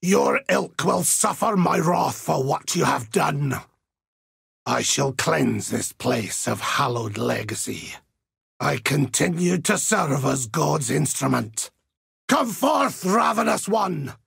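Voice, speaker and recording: A grim, pious holy warrior for a fantasy video game. His older voice is heavily gravelled and very husky, speaking slowly with solemn, righteous conviction. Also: a little high, animated, a bit bright, no echo, clean studio sound, exaggerated voice. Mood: righteous wrath. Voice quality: gravelly, raspy. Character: zealous, grim Templar holy warrior of God. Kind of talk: video game character voice lines.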